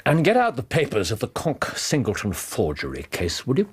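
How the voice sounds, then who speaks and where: A man's voice: medium pitch, wildly swooping pitch, normal speed, slightly rough, heavily acted. An actor playing a brilliant, brooding English detective in a British radio drama, precise and theatrical in his speech. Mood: subdued.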